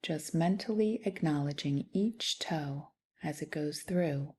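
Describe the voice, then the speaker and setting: A woman guides a meditation in a low, gentle voice. Her tone is mellow and breathy, softening almost toward a whisper. She speaks soothingly, leaving long pauses between short phrases. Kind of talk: guided body scan meditation.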